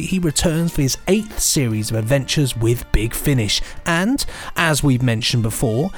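A man with a British accent co-hosting a podcast in casual, jokey, rambling chat. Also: medium pitch. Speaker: podcast co-host.

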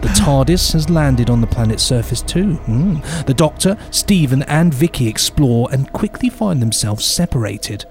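A man's voice: medium pitch, lively intonation, normal speed, few pauses, darkish tone. Playful male co-host with music behind. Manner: playful mock-pompous banter. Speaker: podcast co-host. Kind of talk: chatty presenting with scripted news items. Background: music bed.

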